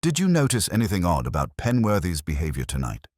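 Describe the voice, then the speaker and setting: Male narrator as cool analytical detective, very deep, clipped, formal, probing, audiobook mystery. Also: wildly swooping pitch, fast talker, a little mellow, no echo, clean studio sound, full character voice.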